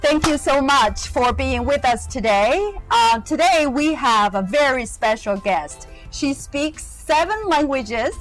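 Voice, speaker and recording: Slow-talking, effusive woman host. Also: sits high, expressive, soft background music, rough recording. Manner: effusive, frequent affirmations. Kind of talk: interview hosting.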